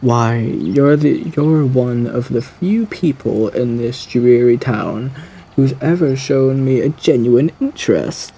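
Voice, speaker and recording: Male actor as suave woodland villain, gravelly and mellow, genteel menace, theatrical phrasing, ASMR audio roleplay. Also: mid-range voice, slow pace, a little hiss or hum, low-quality recording. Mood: darkly amused. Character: suave, sinister gentleman predator lurking in dark woods, theatrically menacing.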